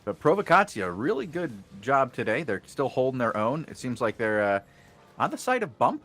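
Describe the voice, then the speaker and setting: A man giving excitable live color commentary on an online game battle, alongside a co-caster. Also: normal speed. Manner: excitable, pitch jumps on big moments. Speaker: esports co-caster.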